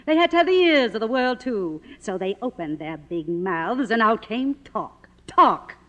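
An actress playing a middle-aged, faded silent film star in a 1950s radio drama, grandiose, bitter and theatrical. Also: female voice, on the high side, expressive, a little slow, a bit bright. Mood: bitter, scornful. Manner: theatrical, imperious, declamatory.

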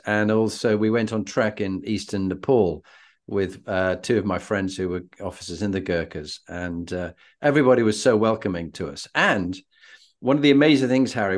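A man's voice: on the low side, normal speed. A man with a British accent hosting a conversational interview, telling anecdotes in an effusive, emphatic way. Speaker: interview host.

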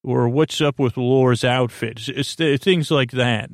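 Male bedtime podcast host, gravelly and creaky, meandering halting monologue full of tangents, dry close-mic sound. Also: mid-range voice, no echo.

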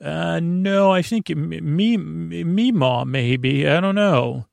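A man's voice: fairly high pitch, slow, vocal fry, darkish tone, no room sound, voice pushed up, full character voice. A man voicing a puzzled, half-asleep listener who dithers and guesses aloud in a raised voice. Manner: unsure, trailing off, guessing aloud.

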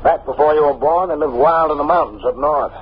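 A man's voice: on the high side, a little fast, natural voice. An actor playing a blunt, suspicious frontier father in a 1950s radio drama, with folksy, commanding talk. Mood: scornful. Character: gruff, suspicious, bigoted frontier father on Mars. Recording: tinny, noisy old radio recording.

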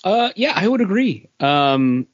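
A man chats casually as a podcast co-host at a very unhurried pace. His voice sits at an average pitch. The recording is close and dry, slightly muffled. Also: very animated, husky, no echo. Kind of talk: casual chat. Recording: dull, dry lo-fi audio.

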